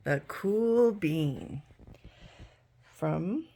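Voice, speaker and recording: An older woman reading a picture book aloud to children as a grandmother would, expressively and deliberately, drawing out key words. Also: lowish voice, lively intonation, creaky, dark tone.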